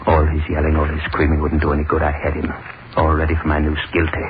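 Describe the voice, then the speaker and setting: Brief: an obsessive old-radio hangman, cavernous low voice, flat delivery, tinny hissy broadcast. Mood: brooding. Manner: slow, broken, obsessive inner monologue. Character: brooding male hangman, obsessed with his noose. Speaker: narrator. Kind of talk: 1930s radio horror acting.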